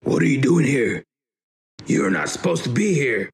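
A man's voice: medium pitch, big pitch swings, normal speed, vocal fry, breathy edge, voice pushed up, exaggerated voice. A man voicing a hostile, menacing stranger who barks warnings at a passerby at night in a told story. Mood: stern, repetitive. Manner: menacing, repetitive, barking.

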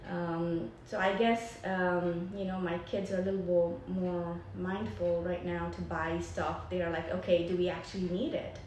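A woman's voice: fairly low pitch, little pitch movement, moderate pace, few pauses, darkish tone, a little hiss or hum. Echoey far-off woman dentist from Nepal. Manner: hesitant, many fillers and restarts. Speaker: dentist, practice owner. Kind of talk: casual interview chat. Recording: echoey room, distant mic.

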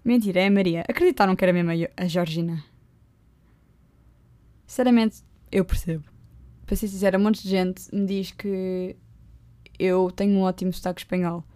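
A young woman with a European Portuguese accent hosting her own podcast, chatting casually and poking fun at herself with quick asides. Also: lowish voice. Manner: joking, self-mocking, lively asides. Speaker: podcast host. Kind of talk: casual solo podcast chat. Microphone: close podcast microphone.

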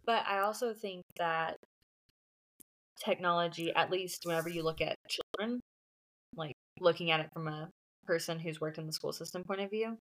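A woman's voice: fairly low pitch, normal speed, a bit bright, low-quality recording. A woman discussing books casually as a co-host, explaining her points in a conversational way. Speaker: book podcast co-host.

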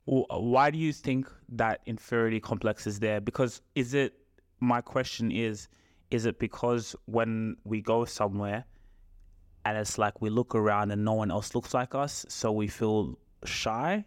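A young man in casual conversation, asking questions and thinking out loud. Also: fairly low pitch, fairly even intonation, a little slow, no echo, studio quality. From Australia. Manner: questioning, reflective. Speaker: podcast co-host, son.

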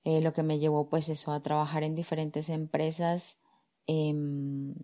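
A woman, speaking as a career coach on her podcast. Her voice sits low and warm, and her intonation stays quite even. She talks calmly and reflectively, soft fillers as she gathers her thoughts. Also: measured pace. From Colombia. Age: 30s. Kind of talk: personal storytelling monologue.

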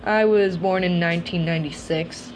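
A woman's voice: on the low side, moderate pace, background noise. A woman in her twenties, originally from Russia, hosting a podcast and talking earnestly and reflectively about her life. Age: 20s. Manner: earnest, reflective, steady delivery. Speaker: podcast host. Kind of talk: personal monologue podcast.

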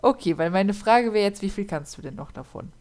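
A woman's voice: mid-range voice, expressive, fairly quick, darkish tone. A woman, co-hosting a casual podcast and narrating a true crime case, chatty with lots of fillers. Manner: chatty, laughs often, many fillers.

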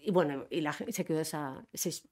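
A woman from Spain chatting as an interview guest, telling animated stories and quoting other people. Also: sits low, fairly quick. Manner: animated storyteller, quotes others, laughs often. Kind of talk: casual interview chat.

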